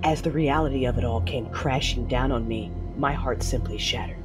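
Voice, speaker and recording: A woman playing a fierce, grieving warrior mother who narrates her own story in a measured, dramatic audio drama style. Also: rumbling low. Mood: anguished. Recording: voice over a music bed.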